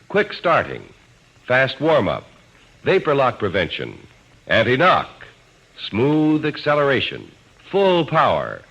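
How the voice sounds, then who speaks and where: A man announcing in 1940s radio style, reading a script with measured emphasis. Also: medium pitch, fairly bright tone, slightly rough. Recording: dull old radio recording.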